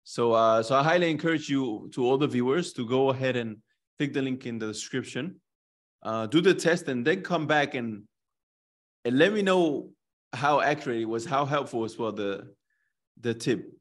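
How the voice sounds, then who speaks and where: A man's voice: mid-range voice, animated, normal speed. A man hosting a video podcast interview, speaking in a friendly way and encouraging viewers, using Islamic phrases, into a studio microphone. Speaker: host. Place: bright indoor room. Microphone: studio microphone and headphones.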